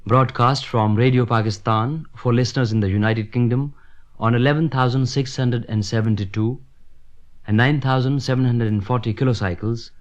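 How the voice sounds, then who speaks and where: A man reading a formal, measured, scripted station announcement on 1960s shortwave radio. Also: mid-range voice, highs cut off. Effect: shortwave radio broadcast.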